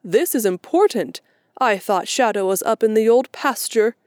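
Woman doing a sharp-tongued bird. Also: fairly high pitch, lively intonation, normal speed, no room sound, studio quality, lightly acted. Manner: sharp, teasing. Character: sharp-tongued mischievous male blue jay. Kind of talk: storybook character voice.

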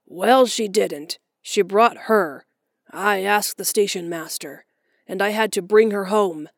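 A woman voicing a shy, gentle elderly farmer who talks slowly and apologetically in a storybook reading. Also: mid-range voice, no room sound, clean studio sound. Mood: apologetic.

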